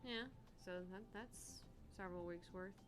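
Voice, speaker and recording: A woman with a mid-pitched voice gives casual co-host commentary on a game. Music is clearly heard behind her as she chats. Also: a little slow. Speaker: gaming video co-host.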